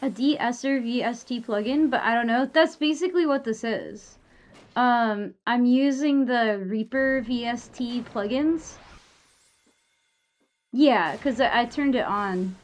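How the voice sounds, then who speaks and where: A young woman talks casually on a live stream with a somewhat high voice. She rambles, leans on "like" fillers and swears now and then. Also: a little slow.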